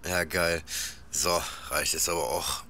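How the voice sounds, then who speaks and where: A man's voice: rumbling low, fairly even intonation, measured pace, bright, forceful tone, slight background noise. A man talking in casual, slangy muttering, acting as himself in an audio drama.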